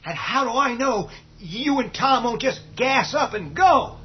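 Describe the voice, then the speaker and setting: A man's voice: very high voice, lively intonation, measured pace, highs cut off. An actor playing an anxious, argumentative, selfish man in a horror radio drama, agitated and defensive. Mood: agitated, defiant.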